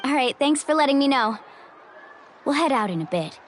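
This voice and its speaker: Mualani, bright-pitched breezy young guide. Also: female voice, animated, moderate pace, soft background music. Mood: concerned, relieved. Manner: breezy, casual, reassuring. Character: Mualani, friendly young female water-tribe guide, easygoing and upbeat. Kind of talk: video game character acting.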